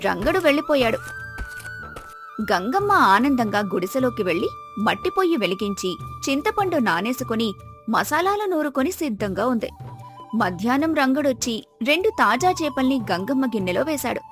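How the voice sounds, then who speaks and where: High-voiced woman narrating a story. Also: very animated, normal speed, soft background music. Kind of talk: scripted moral-story narration.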